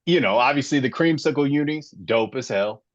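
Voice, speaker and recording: A man's voice: mid-range voice, animated, normal speed, no echo, dull audio. A man in his late forties from Florida, hosting a casual sports podcast and telling his own story with passion. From Florida, USA. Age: 40s. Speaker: podcast host.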